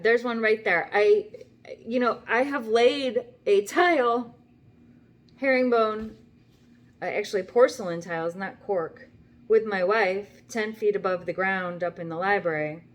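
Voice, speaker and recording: A woman's voice: medium pitch, a little slow, pauses often. An older woman vlogging from her home kitchen, telling a rambling story in a chatty, wry way with plenty of asides. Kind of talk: casual talking-to-camera story.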